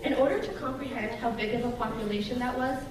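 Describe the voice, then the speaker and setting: A young woman from Russia delivers a prepared speech to an audience in an earnest, measured way. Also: a little high, crowd noise. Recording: echoey hall from far off, noisy.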